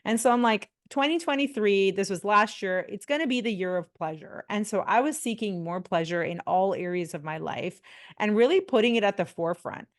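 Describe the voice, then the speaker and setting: A woman's voice: mid-range voice, lively intonation, a little fast. A woman in her forties from India, a pelvic physiotherapist talking as a podcast guest in a chatty way, full of fillers and restarts. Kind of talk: casual interview talk.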